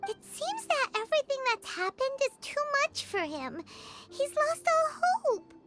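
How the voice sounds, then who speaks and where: A woman voicing a tiny, excitable, childlike fairy companion with a squeaky voice in a video game. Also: measured pace, loud music behind the voice, exaggerated voice. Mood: worried. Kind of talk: cartoonish video game voice acting.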